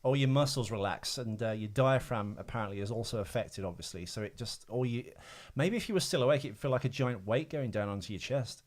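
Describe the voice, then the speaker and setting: A man's voice: mid-range voice, a little fast, hardly any pauses. Man explaining things on a casual podcast. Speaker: podcast host. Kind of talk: casual film trivia podcast chat.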